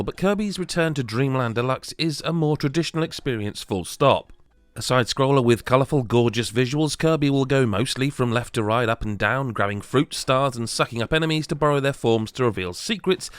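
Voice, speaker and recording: A man narrates a scripted video game review at a medium pitch. The recording is close and dry, with very little room sound, in the manner of a voice-over. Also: no room sound.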